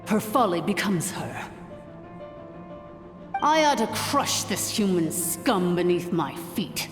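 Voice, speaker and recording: A woman playing an arrogant, cruel, ancient dragon ruler in a video game, haughty, grandiose and contemptuous. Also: on the low side. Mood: cold, vengeful. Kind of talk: video game villain acting. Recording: long reverb, music behind.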